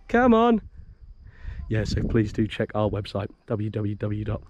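A man giving a casual walking tour outdoors as a vlogger, rambling and chatty, with restarts and soft chuckles. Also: fairly low pitch, wildly swooping pitch, takes it slowly, highs cut off. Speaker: vlogger showing a property for sale. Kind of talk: casual walk-and-talk tour. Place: outdoors, walking a farm and village streets. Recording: outdoor noise behind the voice.

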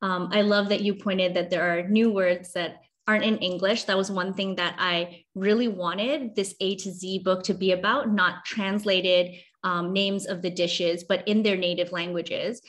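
A woman's voice: mid-range voice, fairly even intonation, measured pace, few pauses. A woman in her thirties from Hong Kong, explaining conversationally as a guest author on a video call. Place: video call from a room at home.

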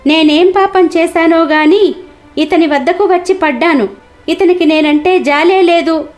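A woman's voice: very high voice, unhurried, soft background music, voice pushed up. A woman voicing a weeping, long-suffering donkey that pleads for mercy in a cartoon moral story. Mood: sorrowful, self-pitying. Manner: plaintive, pleading lament.

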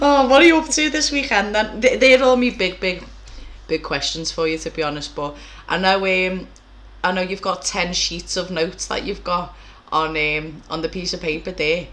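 A woman's voice: lowish voice, steady tone, a little slow, a bit bright. A woman, hosting a casual video-call chat from home on a headset, chatty and swearing freely. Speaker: podcast host. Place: small room at home, indoors. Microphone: over-ear headset on a video call.

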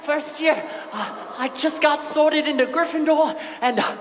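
Starstruck first-year schoolboy, high and hesitant, comic stage reading with roomy reverb. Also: female voice, unhurried. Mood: nervous, starstruck. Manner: hesitant, breathlessly eager. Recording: echoey hall with light noise.

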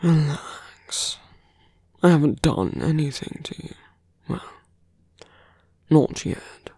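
A man playing a seductive, teasing fantasy villain in an ASMR roleplay, breathy and often whispering, with a languid, smugly menacing, taunting manner. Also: fairly high pitch, animated, slow, warm tone, no room sound, heavily acted. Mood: coaxing, teasing.

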